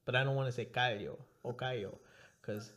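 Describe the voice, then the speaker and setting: A man's voice: mid-range voice, steady tone, brisk, a bit bright. Laughing, rambling young podcast host man. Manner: laughs often, loose and rambling with restarts. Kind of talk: casual interview chat.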